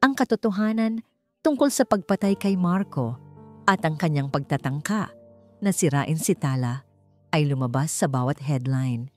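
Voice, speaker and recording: A woman reads scripted, dramatic romance narration in a measured manner. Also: lowish voice, darkish tone. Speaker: story narrator. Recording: music behind the voice. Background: background music.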